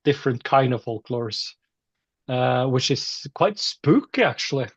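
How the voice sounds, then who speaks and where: A man with a Norwegian accent, a musician chatting casually and haltingly in an interview. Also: mid-range voice, lively intonation, slightly rough, dry recording, dull audio. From Norway. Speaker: metal musician being interviewed.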